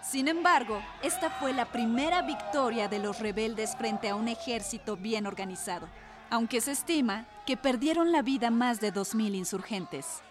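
A female narrator. Her pitch is a bit above the middle for a woman. She reads scripted history as dramatic radio narration. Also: lively intonation, normal speed, music in the background.

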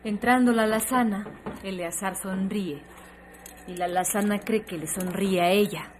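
An actress narrating a radio drama as an intent, dramatic storyteller. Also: female voice, medium pitch, steady tone, moderate pace, a little hiss or hum, close to an everyday voice. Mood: intent suspense.

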